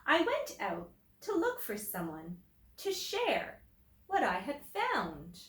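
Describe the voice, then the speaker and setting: A woman teacher reading a picture book aloud to children, explaining simply and deliberately and putting questions to her listeners. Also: fairly high pitch, huge pitch swings, a bit bright. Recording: roomy echo, distant microphone.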